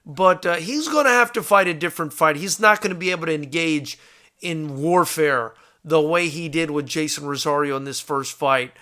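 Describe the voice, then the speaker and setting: An American man with a fairly high, very bright and forceful voice hosts a combat sports show. He talks casually and conversationally to his viewers, addressing them like brothers as he gives his take on fighters. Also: normal speed. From South Florida, United States. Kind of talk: casual live fight commentary, answering viewer comments.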